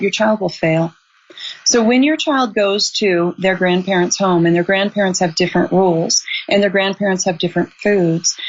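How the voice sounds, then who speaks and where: Brief: woman in her 60s, guest author, earnest and measured, slightly muffled audio. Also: medium pitch. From Montana. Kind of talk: advice in an interview.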